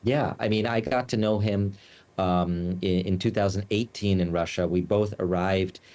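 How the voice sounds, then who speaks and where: A man from the United States, a journalist giving news interview answers, speaking haltingly with many fillers and restarts. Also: deep voice, fairly even intonation, a little mellow. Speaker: journalist and Moscow bureau chief, guest.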